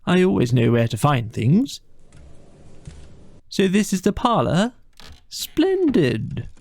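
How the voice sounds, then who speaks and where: A man voicing a cheery, practical storybook water rat with a brisk, encouraging, chummy manner. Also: fairly high pitch, huge pitch swings, gravelly, darkish tone, pitched above the performer's own voice, exaggerated voice. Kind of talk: dramatised storybook reading.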